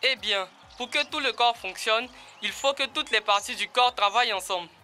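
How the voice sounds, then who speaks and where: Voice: mid-range voice, normal speed, pushed and bright, faint music behind, natural voice. An actor voicing an earnest, encouraging young animal team leader in an educational children's cartoon, explaining things in a didactic way.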